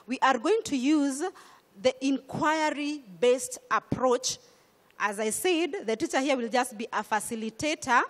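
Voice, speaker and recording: A middle-aged woman with a Kenyan accent, speaking on a conference panel about curriculum changes in a measured, explanatory way, into a handheld mic. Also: high voice. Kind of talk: panel discussion. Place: conference stage. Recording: hall reverb.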